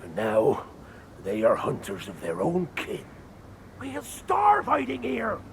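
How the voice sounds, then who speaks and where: An actor voicing a cowardly, excitable rat creature in exile for a video game, creaky and agitated, drawing out words. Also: male voice, high voice, a little hiss or hum, full character voice. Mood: bitter, desperate. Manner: exclamatory, drawn-out, agitated. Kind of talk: video game character acting.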